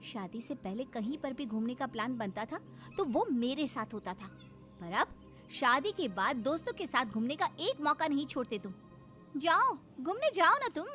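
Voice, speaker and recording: A woman's voice: sits high, pushed and bright, voice pushed up. A woman voicing an aggrieved, sarcastic young wife in a cartoon story, complaining in a plaintive, dramatic way. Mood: bitter, tearful.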